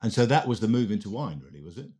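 A man in his sixties hosting a wine podcast, asking brisk, chatty questions. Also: on the low side, big pitch swings, darkish tone, husky. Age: 60s. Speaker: podcast host, interviewer. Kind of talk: podcast interview.

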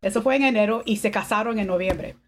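A woman in her fifties, a podcast guest, telling family stories in a relaxed way and mixing English and Spanish. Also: mid-range voice, fast, a little hiss or hum. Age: 50s. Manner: switches between English and Spanish, laughs often. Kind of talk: casual bilingual conversation.

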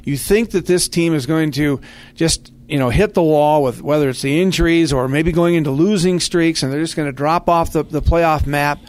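A man's voice: on the high side, normal speed, darkish tone. A man hosting a sports podcast in a conversational radio style, speaking earnestly and with complimentary phrasing.

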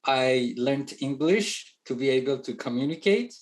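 A man whose first language is Persian, a touring music producer chatting as a guest, halting with many fillers and restarts, playful. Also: medium pitch, animated. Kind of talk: casual interview chat.

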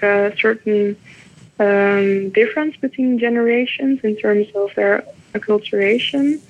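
Young Dutch woman, flat voice on a tinny line. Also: mid-range voice, gravelly. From Netherlands. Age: young adult. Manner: hesitant, many fillers. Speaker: doctoral researcher. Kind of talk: interview answers.

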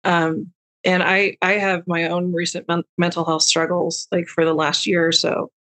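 Podcast co-host, candid, casual swearing, halting, mid pitch. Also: flat delivery, fairly bright tone. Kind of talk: casual chat.

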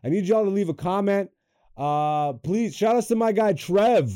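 A young man hosting a comedy podcast in a studio, chatting loosely with lots of swearing, swinging between loud hype and low mumbles. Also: moderate pace, clean tone, no room sound. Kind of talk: casual podcast chat.

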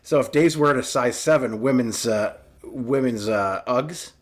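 A man, co-hosting a casual podcast, animated, with lots of fillers and restarts. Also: measured pace. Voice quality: loud booming voice. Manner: animated, talks with hands, frequent fillers and restarts. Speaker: podcast co-host, union chief steward.

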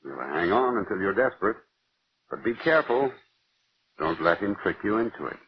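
An actor playing an urgent confidant giving warnings in a 1940s radio drama, insistent and dramatic. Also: male voice, on the low side, big pitch swings, moderate pace, slightly rough, no room sound. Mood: grave warning. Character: urgent male confidant warning his darling. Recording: muffled old radio recording.

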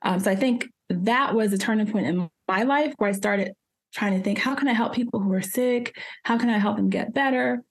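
A woman's voice: mid-range voice, brisk. Chatty woman pharmacist telling her story. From Jamaica, raised in New Jersey. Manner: chatty, laughs often, many fillers. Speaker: guest, pharmacist. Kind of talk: casual interview storytelling.

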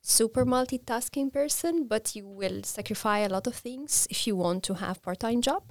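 A woman from Egypt, a master's student speaking as a podcast guest in a measured, cheerful way. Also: a little high, no room sound. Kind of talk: casual interview chat.

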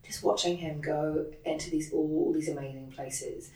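A low-pitched woman with a New Zealand accent chats as an interview guest, quick and animated, with plenty of "like". She sits a little back from the mic, so her voice carries a roomy echo. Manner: quick, animated, peppered with "like" and laughs. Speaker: interview guest, production head. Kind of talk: casual interview chat. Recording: roomy sound, distant from the mic.

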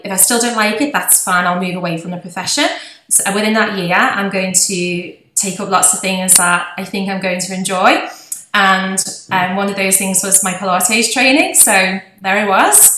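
A chatty woman in her forties with a Liverpool accent, talking with a bright, forceful tone. She laughs often as she tells her story, and her voice rings a little in an echoey room over a faint hiss. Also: medium pitch, steady tone, normal speed. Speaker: guest, physiotherapist. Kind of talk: podcast interview.

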